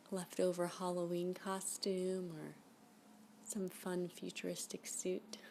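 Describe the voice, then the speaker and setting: Woman playing a smitten stalker woman, ASMR roleplay, coy, unhurried, whispery, creaky, faint background noise. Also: fairly low pitch, a little mellow. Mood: flirty, coaxing. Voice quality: breathy, often slipping into whisper. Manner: coy, slow, unhurried, with giggly asides. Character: flirty obsessive woman stalker, eagerly smitten with her captor.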